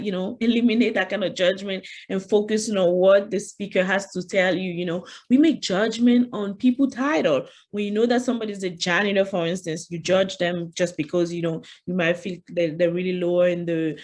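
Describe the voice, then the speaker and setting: A woman's voice: lowish voice, steady tone, moderate pace, a little mellow. A woman with a French accent presenting an interactive online leadership workshop, speaking conversationally and often saying "you know", standing at her computer on a video call.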